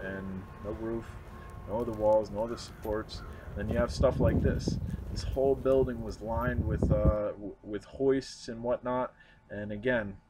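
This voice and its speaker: A man narrating his vlog outdoors, earnest and reflective, often pausing and restarting. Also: fairly low pitch, a little mellow, slight background noise, low-quality recording. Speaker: urban explorer vlogger. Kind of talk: casual walk-and-talk narration. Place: outdoors at a snowy demolition site.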